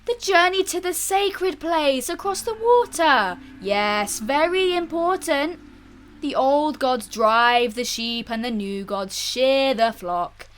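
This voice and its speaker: An eccentric old madman acted out by a woman narrator in an audiobook tale. He is high-voiced and erratic, eagerly exclaiming and repeating himself. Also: very animated, slow, pushed and bright, a little hiss or hum, exaggerated voice. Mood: excited. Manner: repeats words, exclaims in short bursts. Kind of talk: audiobook character voice.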